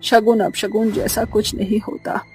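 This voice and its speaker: A man's voice: sits high, level tone, a little slow, a little mellow, soft background music, voice pushed up, poor audio. A man voicing a kind mother comforting her daughter in a cartoon story, soothing.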